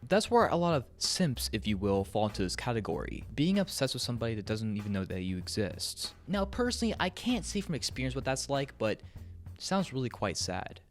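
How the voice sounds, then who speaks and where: A man's voice: mid-range voice, big pitch swings, fairly quick. A young man narrating an opinion video essay in a conversational, frank and emphatic way, sometimes swearing. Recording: music under the voice. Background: background music.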